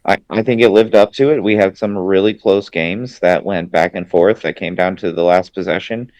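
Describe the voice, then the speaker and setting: A man with a very deep voice chats on a sports podcast, recorded close and dry. He is relaxed and wry, filling his talk with ums and likes. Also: moderate pace, no echo, lo-fi sound. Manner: laid-back, wry ribbing, fillers like "um" and "like". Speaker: podcast co-host. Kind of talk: casual sports podcast chat.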